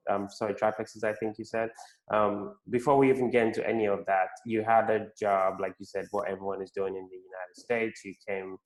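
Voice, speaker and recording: A male podcast host asks questions in a steady, level way with very little rise and fall. His voice is fairly low and flat. The room adds a noticeable echo. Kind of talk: interview hosting.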